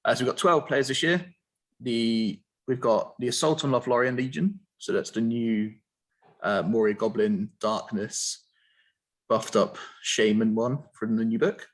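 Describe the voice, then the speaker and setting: A man hosting an online interview, explaining a tournament in a relaxed, filler-heavy way. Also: medium pitch, a little slow. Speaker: host.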